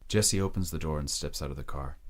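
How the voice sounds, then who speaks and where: Really deep guy voice narrating an audio drama, reading scene descriptions. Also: brisk.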